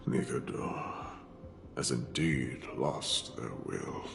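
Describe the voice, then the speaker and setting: Imposing game warrior lord: extremely low, slow, grave and scornful. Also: male voice, animated, over music, full character voice. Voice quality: deep, gravelly. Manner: slow, weighty, archaic speech. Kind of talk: video game voice acting.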